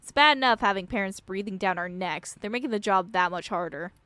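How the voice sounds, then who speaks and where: A woman voicing a gruff, suspicious striking miner in a visual novel, blunt and dismissive. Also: medium pitch, fairly quick, sharp tone, no room sound, faint music behind, close to an everyday voice. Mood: firm, suspicious.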